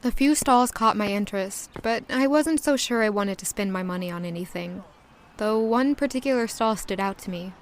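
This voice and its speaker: A woman's voice: mid-range voice, normal speed, slight background noise, natural voice. Woman as a wistful young castle maid narrating. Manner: reflective, descriptive. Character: wistful, proud young castle maid telling her story. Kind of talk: first-person scripted drama narration.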